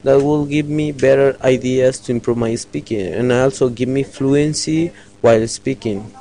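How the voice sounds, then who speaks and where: A man from Guatemala with a Guatemalan accent, an adult English learner talking in a relaxed, conversational way. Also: medium pitch, normal speed, poor audio.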